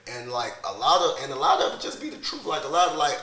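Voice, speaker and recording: A young man talks fast and laid-back about his music, forever tossing in "you know what I'm talking about". The recording is roomy and echoey, the sound of a room rather than a studio booth. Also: mid-range voice, animated, pushed and bright. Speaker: rapper guest. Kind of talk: casual interview talk.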